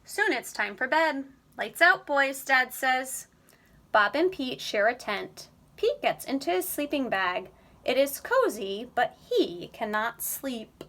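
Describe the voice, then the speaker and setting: Animated, slow-paced woman reading to children. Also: sits high. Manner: deliberate, animated storytelling for young children. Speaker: storybook reader. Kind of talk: expressive children's read-aloud.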